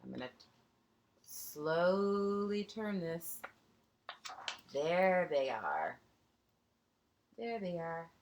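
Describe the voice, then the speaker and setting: A woman reads a children's storybook aloud in a teacherly, expressive way. Her voice sits a little low and swings widely in pitch, and she takes her time, pausing to explain things to young listeners. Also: slight background noise.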